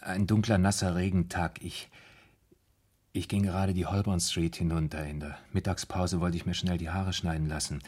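An actor in a 1970s German radio drama playing a tormented, anxious man who haltingly confesses what haunts him, in a husky voice. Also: low-pitched, flat delivery, a little mellow. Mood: uneasy. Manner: halting, haunted confessional storytelling with broken phrases.

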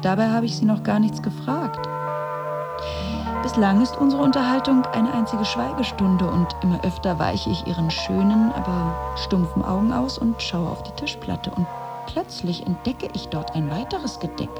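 A woman's voice: mid-range voice, moderate pace, music in the background, natural voice. A woman acting a resentful, sardonic figure who narrates her silent judgements with dry bite in a radio play. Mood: disdainful. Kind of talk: interior monologue in a radio play.

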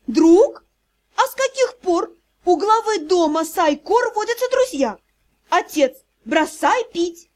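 A woman's voice: very high-pitched, lively intonation, slow pace, voice pushed up, exaggerated voice. A woman voicing a fearless, hot-tempered young vampire noblewoman in an audiobook, imperious and scolding. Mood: defiant, threatening.

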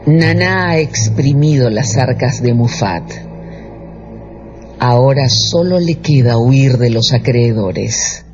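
A man's voice: mid-range voice, expressive, slow, a little mellow, a little hiss or hum. A man giving scripted dramatic narration as the storyteller of a radio drama. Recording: dull radio production with music underneath.

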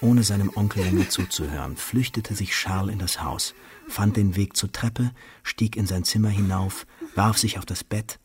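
A man's voice: on the low side, moderate pace, slightly rough, faint music behind. A man reading scripted literary narration in a radio play.

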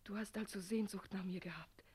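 An actress playing a devoted, suffering young woman in a German radio drama, speaking in a breathy, emotional, yearning half-whisper. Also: mid-range voice, fairly even intonation, brisk, darkish tone. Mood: tender, yearning.

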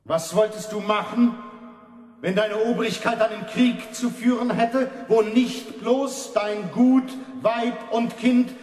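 A stern reformation preacher lectures slowly and emphatically in a radio play. His male voice is pitched high and rings through a long, echoing hall. The delivery is declamatory and authoritarian. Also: fairly even intonation, darkish tone, faint music behind. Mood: stern, insistent. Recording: echoey hall with long reverb.

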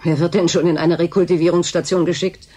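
An actress playing a blunt, disillusioned worker at a remote station in a German radio drama, curt and sardonic. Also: female voice, on the low side, little pitch movement, fast talker, warm tone, natural voice. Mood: bitter, resigned.

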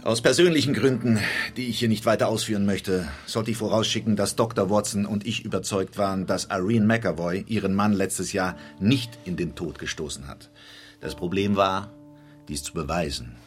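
An actor playing a brooding, probing gentleman detective in a radio play, speaking formally and in measured phrases. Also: on the low side, faint music behind, lightly acted.

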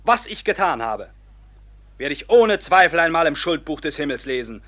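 Forceful, defiant robber captain. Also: male voice, fairly high pitch, sing-song, normal speed. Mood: proud, defiant. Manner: declamatory, mocking, commanding. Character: proud, defiant robber captain, commanding his band. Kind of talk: classical stage-style radio drama acting.

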